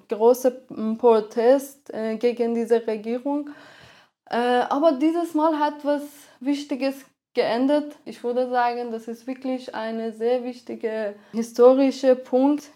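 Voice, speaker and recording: A woman's voice: on the high side, dark tone. A woman in her thirties from Iran with a Persian accent, speaking German as a second language and answering interview questions with frequent hesitations and restarts. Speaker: guest, filmmaker. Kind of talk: podcast interview.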